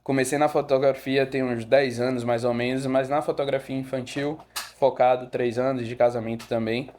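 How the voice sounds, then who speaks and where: A young man from Rio de Janeiro with a Bahian Brazilian accent, a photographer guest telling his story in a relaxed podcast chat with fillers and self-corrections. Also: medium pitch, moderate pace, a little hiss or hum. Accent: Brazilian Portuguese, Bahia.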